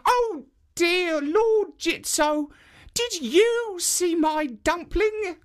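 Storybook old woman, high and squeaky, slow sing-song cries. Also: lively intonation, full character voice. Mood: pleading. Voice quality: very high, cackling laugh. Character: giggling old woman chasing a dumpling.

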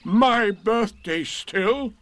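A man voicing a gloomy, resigned donkey from a children's storybook, with a ponderous, doleful, deliberate delivery. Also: high-pitched, lively intonation, a bit bright, no room sound, voice pushed up, heavily acted. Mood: incredulous.

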